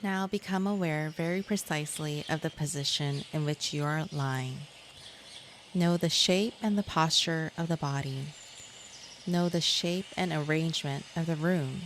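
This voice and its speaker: A woman guiding a yoga nidra relaxation in a measured, soothing manner, as a scripted meditation. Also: deep voice. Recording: clear insect and nature noise behind.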